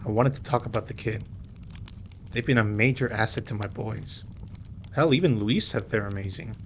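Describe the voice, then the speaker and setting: A man playing a suave, playful mafia boss in an audio roleplay drama, with a teasing, smooth manner. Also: on the low side, normal speed, slight background noise, close to an everyday voice. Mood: relaxed, fond. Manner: teasing, smooth, code-switches into Spanish.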